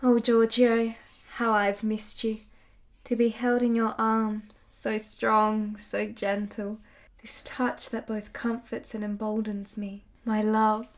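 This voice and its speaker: A woman's voice: a little high, little pitch movement, slow pace. A woman playing a tender, devoted married lover in a period radio drama, speaking in an intimate, earnest, romantic way. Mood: longing.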